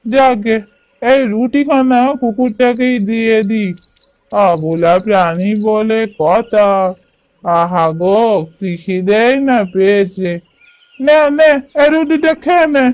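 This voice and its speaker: A male actor plays a poor, kind-hearted village labourer from a cartoon folk tale. His voice is high and smooth, and he speaks very slowly. He sounds earnest and plaintive, as if thinking aloud, over faint music. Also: a little mellow, no echo, dull audio. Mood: kindly, pitying. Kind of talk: cartoon voice acting.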